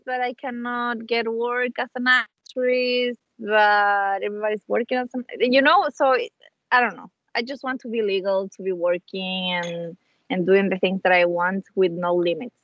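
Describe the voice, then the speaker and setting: Woman, young adult, Chilean Spanish accent, Spanish native speaker, higher voice, dry lo-fi earbud audio, halting casual talk. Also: fairly even intonation, no room sound. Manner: searches for English words, restarts phrases, laughs easily. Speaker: guest, actress. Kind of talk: casual video-call chat. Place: small room at home, indoors. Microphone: wireless earbuds on a video call. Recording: dull lo-fi call audio.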